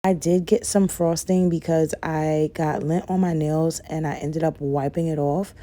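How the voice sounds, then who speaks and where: A young woman, a little raspy from a cold, chatting loosely through a nail tutorial and saying "like" and "guys" a lot. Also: sits low, steady tone, measured pace, dark tone, faint music behind. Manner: rambling, says "like" and "guys" a lot. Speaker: nail vlogger. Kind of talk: casual chatty tutorial voice-over.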